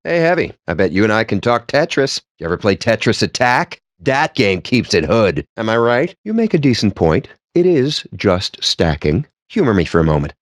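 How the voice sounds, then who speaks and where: A man's voice: lowish voice, very animated, normal speed, hardly any pauses, no room sound, studio quality. A man voicing a wry, pompous, foul-mouthed gamer geek in video game dialogue, with a sardonic, wordy and theatrical delivery. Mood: intense, theatrical.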